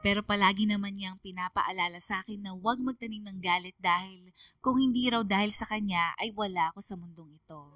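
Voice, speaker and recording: A woman's voice: mid-range voice, sharp tone, no echo, clean studio sound, lightly acted. A woman playing a troubled teenage daughter, narrating earnestly and plaintively in a Filipino radio drama. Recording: music bed underneath.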